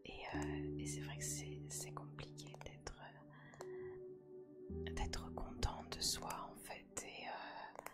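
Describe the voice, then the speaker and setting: A woman whispering an intimate ASMR chat to the listener, softly and hesitantly, in short phrases with pauses between them. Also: extremely low pitch, wildly swooping pitch. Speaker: ASMR creator speaking as host to viewers. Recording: music playing clearly behind the whisper. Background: music.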